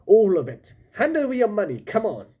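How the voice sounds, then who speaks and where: A man's voice: high-pitched, wildly swooping pitch, a little fast, slightly rough, phone-quality sound, pitched above the performer's own voice, full character voice. A man voicing a proud, bumbling pirate captain in a storybook, grandly formal, boastful and trying to sound fierce. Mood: blustering, threatening.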